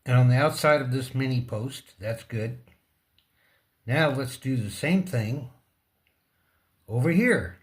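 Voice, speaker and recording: A man presents a casual repair tutorial at a very unhurried pace, with a middling pitch. His speech comes in short, halting fragments with pauses between them, as if talking partly to himself while his hands are busy. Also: animated. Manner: talks to himself and the object. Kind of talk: casual hands-on demonstration, muttering while working.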